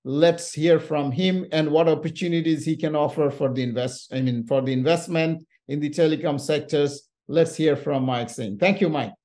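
Animated, emphatic male moderator. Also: on the high side, moderate pace, few pauses. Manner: animated, emphatic, sometimes shouting. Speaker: summit moderator. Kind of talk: casual chat introducing a speaker.

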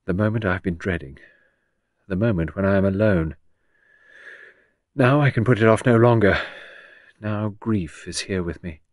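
A man's voice: lowish voice, normal speed, pauses often, no room sound, studio quality, close to an everyday voice. A man voicing an earnest, kindly woman in an audiobook, polite and emotional in manner. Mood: grieving, subdued. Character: earnest, kindly, grieving woman, friend of the late doctor. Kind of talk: audiobook character dialogue.

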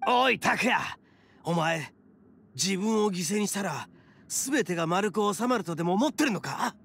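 Rough gravelly oni boss, anime game, cocky n hot-blooded, pitch swings a lot, bit of music. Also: male voice, lively intonation, measured pace, fairly bright tone, a little hiss or hum, heavily acted. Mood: fierce. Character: brash, loud, loyal red oni gang boss, hot-blooded. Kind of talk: Japanese anime game drama acting.

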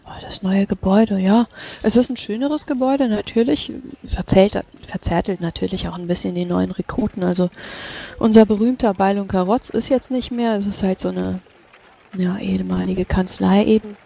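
A woman roleplaying in character at a fantasy tabletop game, in measured, formal phrasing. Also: medium pitch, vocal fry, mellow, husky. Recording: dull, lo-fi voice chat.

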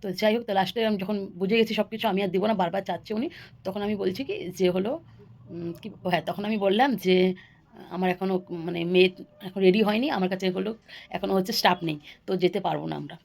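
A woman's voice: mid-range voice, quick pace, vocal fry, a little mellow. A woman tells a story casually to viewers as a vlogger, rambling and repeating phrases for emphasis.

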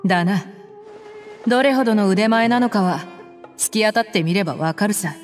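A woman voicing a tough, proud young female mercenary in a game, speaking bluntly and roughly. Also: medium pitch, moderate pace, soft background music, close to an everyday voice. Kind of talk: Japanese game voice acting.

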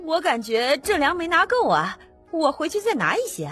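An eager townswoman speaks in an extremely high, lively voice , acted by a woman. It is an audiobook bit part with soft music behind. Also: normal speed. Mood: evasive. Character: excited, eager female commoner in a crowd.

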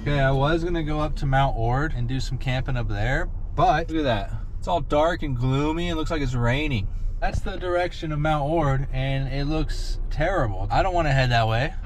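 A man in his thirties talking casually to a handheld camera, upbeat and easily excited, outdoors in the desert and from a parked car. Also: a little high, moderate pace, a little hiss or hum. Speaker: vlogger. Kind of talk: casual travel vlog. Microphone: handheld camera held at arm's length.

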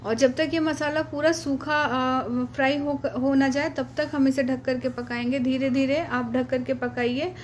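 High-voiced woman explaining a home recipe. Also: steady tone, a little hiss or hum. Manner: patient, explanatory, frequent "uh" pauses. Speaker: cooking channel host. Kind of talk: step-by-step cooking instruction voice-over.

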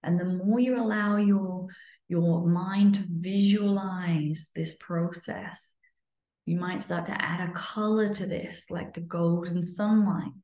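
A woman leading a guided breathing meditation in a soothing, instructional way, sometimes dropping to a breathy near-whisper. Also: lowish voice, steady tone, slow pace, warm tone. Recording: muffled, lo-fi, roomy echo.

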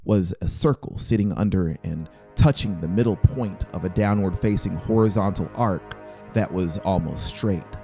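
A low-voiced man reads a story with measured, expressive delivery. His voice has a gravelly edge, and music plays clearly behind him as he speaks close to the microphone. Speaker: audiobook narrator. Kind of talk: scripted dramatic fiction narration. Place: small brick-walled home studio. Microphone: close handheld-style mic on boom stand.

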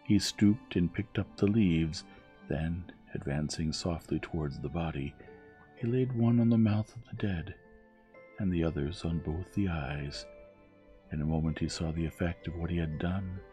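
Male bedtime story reader, very low and warm, soft measured delivery. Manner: soft, measured, soothing reading. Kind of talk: gentle scripted storybook narration. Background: music.

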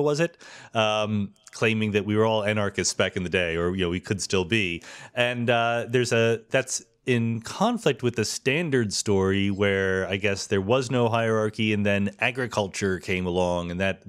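A man's voice: lowish voice, clean tone. A man hosting a podcast interview, asking thoughtful questions with hesitant fillers. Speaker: podcast host.

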